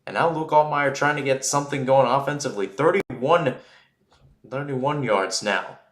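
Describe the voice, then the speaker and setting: A man's voice: mid-range voice, normal speed. Man calling football, roomy sound. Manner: rambling and explanatory between plays, excited on big plays. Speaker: play-by-play commentator. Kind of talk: live American football radio-style commentary.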